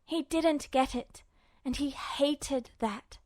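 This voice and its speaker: Female fiction narrator, high-pitched, expressive scripted read, very dry sound. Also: slow pace, no echo.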